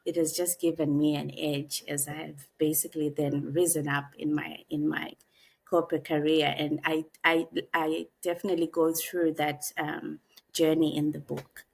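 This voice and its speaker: Lady in her 40s, low voice, thinks out loud with lots of ums, podcast guest. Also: little pitch movement, creaky, breathy edge. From Zimbabwe. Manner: reflective, unhurried, pauses with frequent "um". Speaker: guest, author and executive coach. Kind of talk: podcast interview, personal storytelling.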